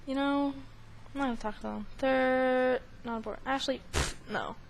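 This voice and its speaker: A woman's voice: high voice, fairly even intonation, normal speed, creaky, background noise. A woman giving casual gaming commentary in a playful, rambling, chatty way. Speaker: gaming video host. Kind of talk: casual let's play commentary. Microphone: webcam recording setup. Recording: game music faintly underneath.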